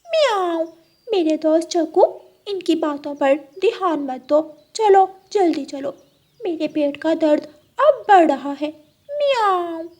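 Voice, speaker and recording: Woman doing a sneaky girl cat in a kids cartoon, really high voice, pleady and sweet talking. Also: expressive, takes it slowly, a little mellow, exaggerated voice. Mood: urgent, coaxing. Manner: coaxing, pleading, punctuated with meows. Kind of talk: children's animal cartoon voice acting.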